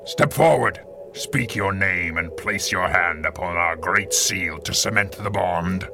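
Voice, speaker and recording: A grave supervillain leader speaks in a low voice with heavy creak. He is commanding and theatrical, pronouncing each phrase deliberately, like a 1930s radio serial villain, over a clear music bed. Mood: ceremonial. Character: grave, commanding male supervillain mastermind leading a secret cabal.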